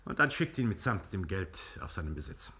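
An actor playing an imperious king in a radio play, ironically polite and deliberate. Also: deep voice, big pitch swings, sharp tone, full character voice. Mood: regal command. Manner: regal, mock-courteous, deliberate. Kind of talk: German radio play acting.

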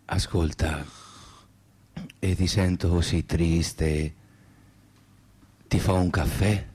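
A man's voice: low-pitched, steady tone, drawn-out words, a little mellow, breathy edge, full character voice. An actor playing a pushy, oversolicitous male friend in a radio play, coaxing insistently in a Tuscan Italian accent. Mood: solicitous. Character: pushy, oversolicitous friend forever offering food. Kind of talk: theatrical radio drama dialogue.

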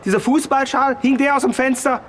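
A man's voice: very high voice, steady tone, fairly quick, a bit bright, slight background noise. An actor playing an edgy, provocative male investigator, insistent and needling, in a radio play.

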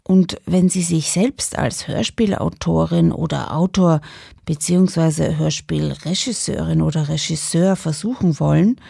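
A woman's voice: deep voice, fairly even intonation, unhurried, creaky, mellow, slightly rough, no room sound, studio quality. A woman presenting scripted programme announcements on the radio.

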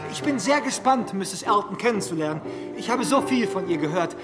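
An actor in a radio drama playing a well-bred, restless young gentleman, polite, eager and nervous. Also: high-pitched, animated, a little fast, music in the background.

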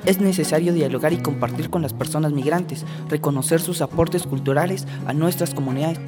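A woman's voice: deep voice, fairly quick. Woman reading earnest PSA over music. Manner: earnest, appealing. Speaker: public service announcer.

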